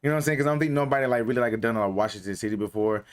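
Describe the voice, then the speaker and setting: A man's voice: mid-range voice, rapid-fire. A young man from North Carolina chatting casually on a game live stream, rambling with lots of slang and fillers.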